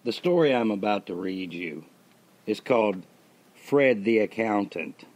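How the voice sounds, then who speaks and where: A man reads a story aloud in a fairly low voice, with long reverb trailing his words. He sounds like a host narrating his own writing. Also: animated, measured pace, a little mellow. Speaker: host reading own stories. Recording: long reverberant hall-like echo.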